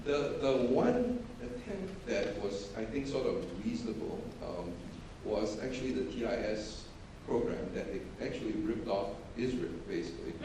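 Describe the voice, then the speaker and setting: A man's voice: mid-range voice, sing-song, moderate pace, few pauses, warm tone, a little hiss or hum. A man speaking matter-of-factly as a panelist, with frequent restarts and fillers. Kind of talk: panel discussion. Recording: echoey hall, distant mic.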